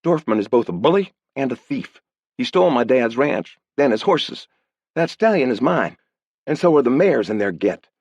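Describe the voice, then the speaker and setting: A man's voice: medium pitch, very animated, a little fast, dry recording, pitched above the performer's own voice. A man voicing a wary, bossy young woman on a frontier ranch. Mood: earnest. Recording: dull lo-fi dry audiobook recording.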